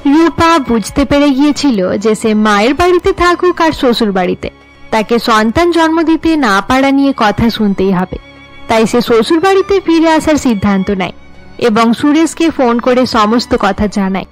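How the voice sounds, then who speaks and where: Female storyteller for cartoon, high register, scripted narration, music underneath. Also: animated, moderate pace.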